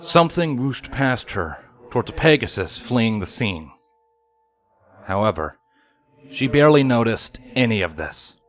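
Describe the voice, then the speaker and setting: A man's voice: medium pitch, very animated, a little slow, no room sound, faint music behind. A man reading a dramatic scripted story as its narrator. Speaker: fan fiction narrator.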